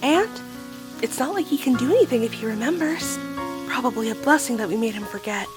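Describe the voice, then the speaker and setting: High-voiced, stern monkey creature played by a woman. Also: lively intonation, fairly quick, music in the background, close to an everyday voice. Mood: dismissive. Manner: stern, guarded. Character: stern, guarded wise monkey creature passing judgment. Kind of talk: audio drama acting.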